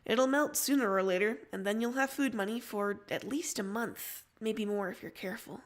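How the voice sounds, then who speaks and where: A woman narrates a story from a script. Her voice sits fairly high, and she reads as a storyteller into a close microphone. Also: moderate pace.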